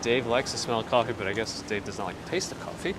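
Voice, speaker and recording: A man's voice: medium pitch, fast talker. A man strolls down a city street at sunrise and chats easily, reading out viewers' messages and answering them as he goes. His voice is recorded on a phone on a handheld gimbal, with heavy traffic and street noise all around him. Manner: relaxed, conversational, reads out and replies to chat messages. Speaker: live-stream walking host. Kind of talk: casual chat answering live viewer comments while walking. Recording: loud street noise outdoors.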